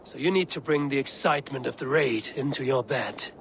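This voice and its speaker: An actor playing a calm, wry, obliging Viking warrior in a video game. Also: male voice, mid-range voice, fairly quick, creaky, a little hiss or hum. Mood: amused, curious.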